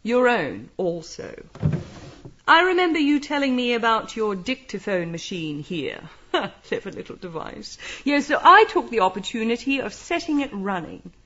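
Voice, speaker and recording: A woman's voice: on the high side, big pitch swings, moderate pace, sharp tone, lo-fi sound, slight background noise. A woman playing a shrewd, breezily polite lady detective in a radio mystery, arch and chatty in her manner. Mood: composed, sly. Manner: arch, polite, rambling British idiom. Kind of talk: radio mystery drama acting.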